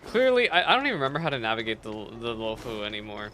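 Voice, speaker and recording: A man's voice: medium pitch, sing-song, quick pace, sharp tone, a little hiss or hum. A man doing casual live gameplay commentary as a streamer, muttering offhand asides. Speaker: game streamer. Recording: game music behind.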